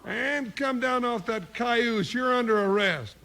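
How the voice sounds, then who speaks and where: A man playing a big, folksy, blustering comic cowboy sidekick in a 1950s radio western. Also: extremely high pitch. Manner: folksy, blustering, drawn-out exclamations. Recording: noisy old 1950s radio recording.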